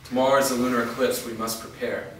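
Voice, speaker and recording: Male actor as resolute half-vampire warrior in a fantasy stage reading, measured delivery, mid pitch, distant mic. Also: steady tone, close to an everyday voice. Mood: guarded, serious. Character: wounded male part-vampire fighter, grave and resolute. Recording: echoey room, distant mic, faint noise.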